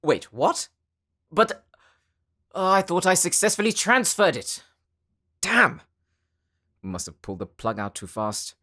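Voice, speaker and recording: A man's voice: sits high, wildly swooping pitch, pushed and bright, no room sound, clean studio sound, full character voice. An actor playing an earnest, bashful young male demon hunter in an audio roleplay drama, speaking hesitantly. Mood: alarmed. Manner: hesitant, halting.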